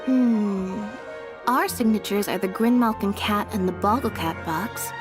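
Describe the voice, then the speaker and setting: A woman voicing a deadpan young stage assistant. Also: medium pitch, measured pace, close to an everyday voice. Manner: dry, deadpan. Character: calm, deadpan young female magician's assistant. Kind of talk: game voice acting. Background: game music.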